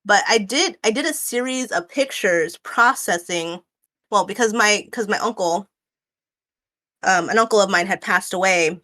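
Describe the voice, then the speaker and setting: A woman's voice: mid-range voice, unhurried, bright, forceful tone. A woman in her late twenties, a guest artist chatting casually on a stream in a rambling way, full of fillers and restarts. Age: 20s. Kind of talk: casual chat.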